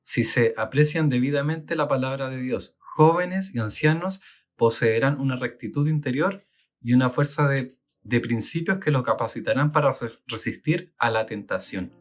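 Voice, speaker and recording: A man's voice: mid-range voice, moderate pace. A Chilean man co-hosting a podcast, talking thoughtfully with many fillers and reading passages aloud. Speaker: podcast co-host. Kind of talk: casual talk and reading aloud.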